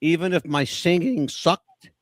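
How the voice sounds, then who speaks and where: A man with a slightly higher voice trades song picks on a podcast and spins chatty, self-deprecating stories. His voice comes through dry and close, with no room sound around it. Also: a little slow, no echo. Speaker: podcast co-host. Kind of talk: casual chat about favorite songs.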